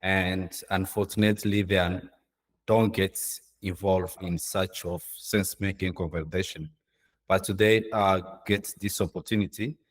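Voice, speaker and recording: Deep-voiced man presenting, halting English. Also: steady tone. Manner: halting, earnest, non-native English phrasing. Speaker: guest speaker, social entrepreneur. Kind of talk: informal presentation of own work. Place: online video call.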